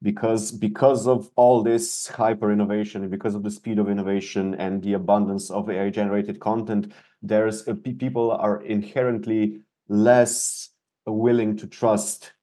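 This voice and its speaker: A man hosting an interview podcast, talking in a halting way with frequent stumbles and restarted words. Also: fairly low pitch, little pitch movement, dark tone. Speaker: podcast host. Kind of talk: conversational interview.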